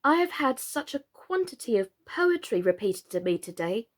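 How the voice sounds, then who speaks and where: A woman's voice: on the high side, sing-song, moderate pace. A woman voicing a polite, slightly anxious young girl who speaks hesitantly.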